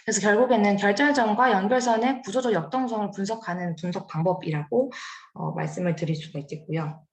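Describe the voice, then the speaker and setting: A woman's voice: medium pitch, fast, reverberant. A young woman presenting her graduate research at a lab seminar, explaining carefully with fillers and self-corrections.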